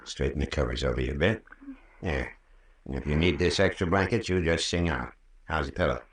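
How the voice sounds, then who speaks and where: An actor playing a folksy, patient, long-winded country doctor with a rural American accent in an old-time radio drama. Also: male voice, a bass voice. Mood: soothing. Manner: folksy, unhurried, patient storytelling. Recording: roomy, distant old radio recording.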